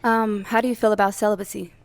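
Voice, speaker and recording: A woman's voice: medium pitch, level tone, fast talker, dry recording. A woman on a dating show who answers in an upbeat, friendly way. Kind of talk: casual chat.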